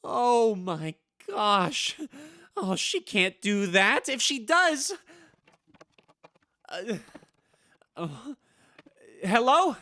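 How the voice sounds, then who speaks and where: A man's voice: high voice, very animated, very slow, long pauses, pushed and bright, dry recording, studio quality, exaggerated voice. A man playing a frantic, haunted husband who mutters and stammers to himself in a vintage radio horror drama. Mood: panicked. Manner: muttering and stammering, theatrically agitated.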